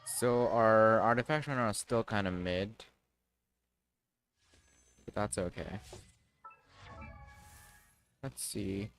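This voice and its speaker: A man's voice: lowish voice, takes it slowly, long pauses, fairly bright tone, faint music behind. A man chatting casually as he streams a game, thinking aloud to himself. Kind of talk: casual muttering livestream gameplay chat.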